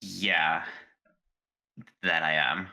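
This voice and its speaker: A man playing a tabletop roleplaying game, speaking in character with hesitant pauses and many fillers. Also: low voice, little pitch movement, vocal fry, sharp tone, rough recording. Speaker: player.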